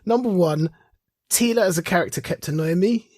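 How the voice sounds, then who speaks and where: A man's voice: high-pitched, fairly quick, darkish tone, dry recording, clean studio sound. A man co-hosting a podcast, giving opinions in casual, emphatic conversation. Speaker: podcast host. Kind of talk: casual chat, opinionated review.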